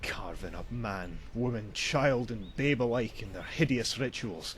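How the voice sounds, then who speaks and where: An actor playing a wry, cynical traveling merchant in a video game, with a theatrical, sardonic manner and old-fashioned phrasing. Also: male voice, lowish voice, animated, a little slow, a little hiss or hum. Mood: disgusted. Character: wry, cynical male traveling merchant, grim about bandits. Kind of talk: voiced video game dialogue.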